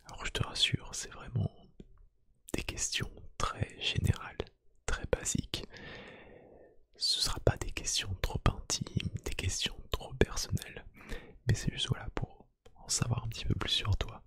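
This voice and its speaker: A man doing a soft-spoken ASMR roleplay, gently whispering one-to-one to the listener in a hushed, breathy voice. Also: lowish voice, steady tone, a little slow, no echo. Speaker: ASMR YouTube creator. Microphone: close microphone.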